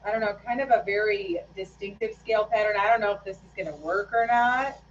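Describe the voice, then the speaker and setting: A woman talks off the cuff while she works, rambling and hesitant with plenty of fillers, her voice a little hoarse. Her pitch sits in the middle of the range. The audio is a bit dull and lo-fi, with faint noise underneath. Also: a little fast. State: slightly hoarse after losing her voice. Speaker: lure painter streaming live. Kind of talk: casual live-stream chat while working.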